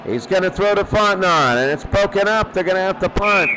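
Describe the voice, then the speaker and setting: A man's voice: sits high, expressive, brisk, noisy background. A man doing live radio play-by-play football commentary in clipped phrases that he often repeats. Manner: repeats phrases, clipped present-tense calls.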